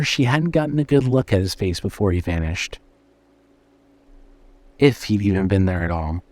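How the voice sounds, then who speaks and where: A man narrating a scripted horror story in a measured, suspenseful way. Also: medium pitch, a little mellow, no echo.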